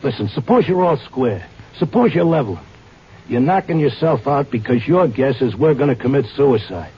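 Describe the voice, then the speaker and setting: An actor playing a stubborn, cynical, wisecracking husband in a radio drama, with slangy, blunt delivery. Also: male voice, medium pitch, expressive, moderate pace, close to an everyday voice. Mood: earnest, persuasive. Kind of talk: old-time radio drama acting with narration. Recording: muffled, noisy vintage radio recording.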